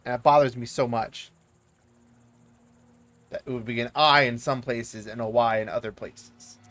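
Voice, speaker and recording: A man's voice: medium pitch, fairly even intonation, moderate pace, a bit bright. A man who is not a native English speaker giving casual, rambling let's play commentary. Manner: rambling, mumbling asides with fillers. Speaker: gaming streamer.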